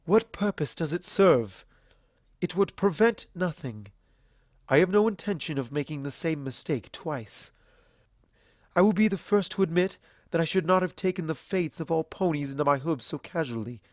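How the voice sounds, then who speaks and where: A man voicing an ancient female pony princess in a storybook reading, speaking formally and with measured, earnest eloquence. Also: on the high side, dry recording, studio quality, natural voice. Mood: firm, questioning. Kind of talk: fan fiction audiobook character acting.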